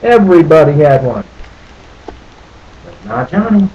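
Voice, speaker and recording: Slow-talking man reading a children's story. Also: fairly high pitch, very animated, lo-fi sound. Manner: deliberate, asks the listeners questions and repeats phrases. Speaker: storyteller reading a picture book aloud. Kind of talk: read-aloud children's story with asides to listeners.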